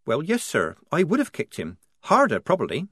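A man's voice: a little high, wildly swooping pitch, moderate pace, fairly bright tone, husky, dry recording, clean studio sound, pitched above the performer's own voice, heavily acted. A man voicing a young woman disguised as a male private, answering carefully and respectfully. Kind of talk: audiobook character voice.

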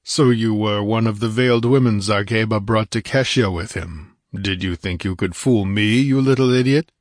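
A man voicing a massive barbarian warrior who speaks with rumbling scorn and blunt demands. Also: normal speed, no echo, clean studio sound, lightly acted. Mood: scornful. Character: massive gruff barbarian warrior, angry and contemptuous.

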